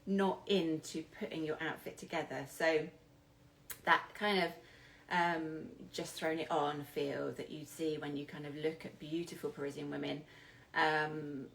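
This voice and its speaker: A woman's voice: deep voice, little pitch movement, unhurried, creaky, fairly bright tone, a little hiss or hum, low-quality recording. A British woman giving an informal style lesson as a coach, speaking hesitantly with self-deprecating, apologetic asides. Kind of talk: informal instructional talk.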